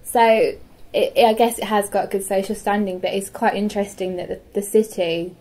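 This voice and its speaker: A woman's voice: medium pitch, fairly even intonation, moderate pace, mellow, poor audio. A young woman interviewing an older university employee, asking casual, slightly hesitant questions. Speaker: student interviewer. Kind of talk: oral history interview.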